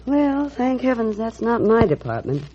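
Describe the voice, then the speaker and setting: A folksy, chatty hotel maid in a vintage radio drama. Her lowish voice is very husky and moves up and down a lot, on a dull old recording. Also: big pitch swings, normal speed, warm tone. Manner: folksy, colloquial.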